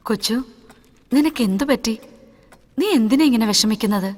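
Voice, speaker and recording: A woman with a Malayali accent playing a caring, concerned elder sister in a cartoon, gentle and questioning. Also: fairly high pitch, normal speed, close to an everyday voice.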